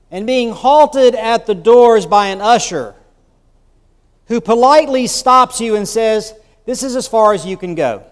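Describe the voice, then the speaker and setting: A man preaching a sermon as bishop, telling the story in a conversational way with rhetorical asides. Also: high-pitched. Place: church sanctuary. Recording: long church reverb.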